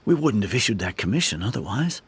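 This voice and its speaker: An actor playing an earnest, concerned male villager in a video game, with a husky, gravelly voice. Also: fairly low pitch, very animated, fast talker, a little hiss or hum. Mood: fond, worried. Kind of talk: scripted video game voice acting.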